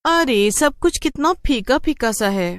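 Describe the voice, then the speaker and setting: A plucky cartoon heroine, performed by a woman, pitched up high and chatty. She coaxes and persuades in an animated manner, recorded dry and close. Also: unhurried, no room sound. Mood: cheeky, teasing. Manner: coaxing, animated. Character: plucky, chatty human woman outwitting witches.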